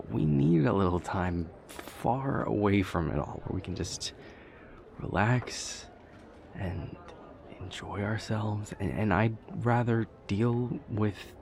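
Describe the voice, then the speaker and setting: A man playing a nervous vampire boyfriend who is afraid of flying, speaking haltingly with stammers in an intimate audio roleplay. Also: on the low side, gravelly, darkish tone, slight background noise. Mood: earnest, stubborn.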